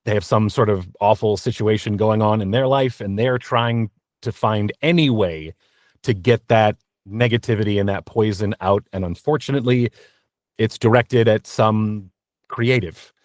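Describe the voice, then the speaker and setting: Guy being interviewed, casual and candid, thinks out loud, very dry clean sound. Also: on the low side, normal speed, no echo. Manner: candid, informal, thinking aloud. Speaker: voice actor, interview guest. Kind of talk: casual conversational interview answers.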